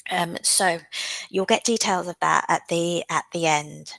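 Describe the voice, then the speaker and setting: A woman's voice: on the low side, vocal fry, fairly bright tone, no echo, rough recording. A woman teaching a live online exam-prep class, speaking with a cold and filling her sentences with "um" as she explains. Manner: frequent "um" fillers, unhurried and explanatory. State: has a cold. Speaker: OET teacher.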